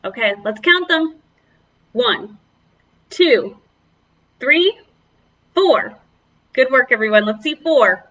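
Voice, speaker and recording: A woman's voice: sits high, expressive, takes it slowly, long pauses, fairly bright tone, highs cut off. A woman children's librarian leading a preschool storytime, speaking to young children in an encouraging, exaggerated way.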